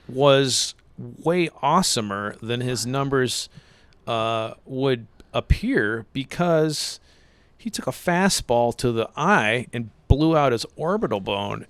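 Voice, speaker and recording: A man co-hosting a casual podcast about baseball, rambling and relaxed, saying "dude" a lot. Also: medium pitch, slow pace, no room sound. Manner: laid-back, rambling, says "dude" a lot. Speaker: podcast co-host.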